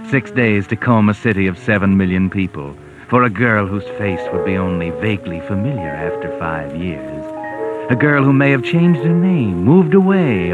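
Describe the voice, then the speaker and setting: A man playing a mocking, all-knowing mystery narrator, sardonic and full of dramatic pauses, in 1940s radio style. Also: fairly low pitch, lightly acted. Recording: narrow-band 1940s radio recording. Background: music.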